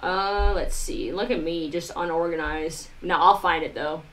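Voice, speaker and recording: A woman's voice: lowish voice, fairly even intonation, unhurried, a bit bright, a little hiss or hum, poor audio. A woman live streamer talking casually to her viewers, rambling informally with lots of "like" and some mild swearing. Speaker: live streamer, music producer.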